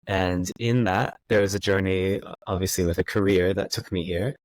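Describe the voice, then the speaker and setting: A man's voice: deep voice, steady tone, normal speed, dry recording. An American man in his thirties answering interview questions thoughtfully, with many fillers and restarts. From United States. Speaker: guest. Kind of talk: conversational interview.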